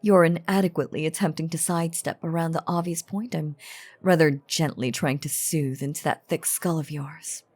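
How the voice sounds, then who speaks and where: A woman's voice: low-pitched, steady tone, normal speed, a little mellow. A woman playing an elegant ancient vampire in a scripted audio drama, teasing and coaxing with theatrical, old-fashioned formality. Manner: theatrical, archaic, velvety formal phrasing.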